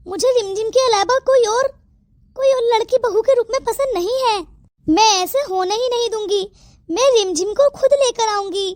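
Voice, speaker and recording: An extremely high voice from a woman playing a stern mother in a cartoon family melodrama. She sounds indignant and theatrical, scolding in a dry, echo-free recording. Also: moderate pace, darkish tone. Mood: determined. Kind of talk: Hindi moral-story cartoon dialogue.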